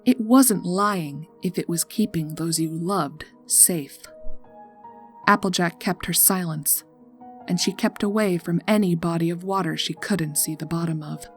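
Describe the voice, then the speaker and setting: A woman reads a horror tale as its narrator. Her voice sits a little low, and her delivery is dramatic and deliberate, building suspense. Music plays faintly behind her. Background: background music.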